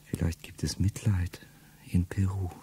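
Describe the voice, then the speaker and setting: Hushed, dark-voiced man narrating. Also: flat delivery, unhurried, a little hiss or hum. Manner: low, intimate delivery, often dropping to a whisper. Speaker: narrator of a radio play. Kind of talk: first-person literary monologue in a radio play.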